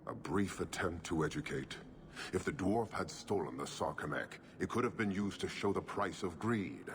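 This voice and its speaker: Stern, extremely deep gravelly warlord. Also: male voice, animated. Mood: cold disdain. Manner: formal, terse, deliberate. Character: stern alien warrior leader, huge, contemptuous, coldly menacing. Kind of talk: video game voice acting. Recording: clearly noisy ambient background.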